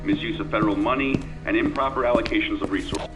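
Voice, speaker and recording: A man's voice: lowish voice, fast talker, natural voice. An actor playing an official male spokesman reading a formal scripted statement in an audio drama. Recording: dull broadcast sound with music.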